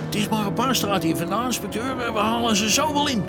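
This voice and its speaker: An actor playing an eager, cheeky police sergeant in a radio drama, excitable and informal. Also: male voice, high voice, quick pace, over loud music. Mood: urgent.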